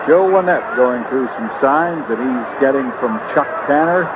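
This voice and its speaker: A man's voice: mid-range voice, animated, measured pace, highs cut off. An American man calling baseball play-by-play live on a vintage radio broadcast. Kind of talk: live radio sports commentary. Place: outdoor ballpark broadcast booth. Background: loud noise and outdoor ambience.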